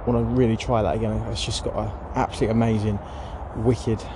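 A man chatting casually by a lake about carp fishing, rambling with plenty of fillers, his voice going hoarse. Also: on the low side, level tone, fast. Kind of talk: casual vlog chat to camera. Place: outdoors by a lake. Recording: noisy outdoor recording.

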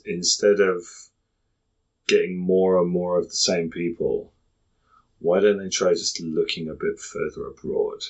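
Deep-voiced, blunt, sweary man. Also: fairly even intonation, a little slow, highs cut off. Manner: blunt, foul-mouthed. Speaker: podcast host. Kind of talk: casual banter and ranting.

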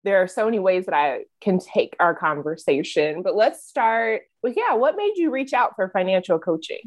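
A woman's voice: mid-range voice, expressive, normal speed. A woman hosting a podcast interview as a financial coach, chatting in an encouraging way and laughing easily with her guest. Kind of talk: conversational interview.

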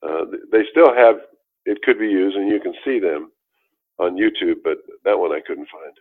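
A man's voice: deep voice, normal speed, husky. An older man telling a long personal story in a casual interview, rambling with many restarts and fillers. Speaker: guest telling his life story. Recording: tinny phone-like line.

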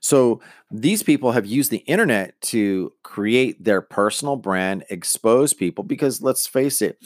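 A man's voice: lowish voice, lively intonation, a little slow, no echo, studio quality. A middle-aged man hosting a podcast, talking casually and earnestly with lots of fillers and restarts. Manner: rambling, many fillers and restarts; earnest, motivational. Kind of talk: casual monologue on personal branding.

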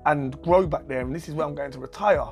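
A man's voice: on the high side, quick pace, faint music behind. A man hosting a casual chat, asking curious questions and stumbling over his words. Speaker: host.

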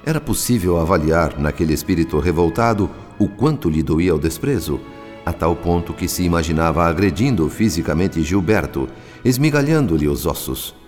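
Solemn brazilian spirit narrator on a radio drama, really deep, echoing hall reverb, theatrical. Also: male voice, lively intonation, normal speed. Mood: solemn, dramatic. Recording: long reverb over soft music. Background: soft music.

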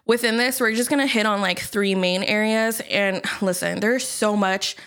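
A young woman from the United States co-hosts a podcast in an easygoing chat. She talks at a medium pitch, laughs often, and fills her sentences with "like" and "you know". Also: steady tone, normal speed, a bit bright, clear, resonant tone. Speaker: podcast co-host. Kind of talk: casual chat.

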